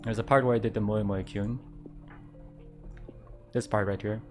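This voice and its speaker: A young man streaming casual chat in a quiet voice that doesn't project, rambling, repeating himself and laughing often. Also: on the low side, fairly even intonation, normal speed, soft background music. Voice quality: quiet voice that does not project.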